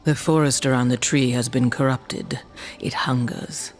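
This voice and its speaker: A woman playing a solemn old shaman of a dying giant race in a fantasy game, speaking in a measured, mystical way. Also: very deep voice, steady tone. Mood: ominous. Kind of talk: dark fantasy game acting.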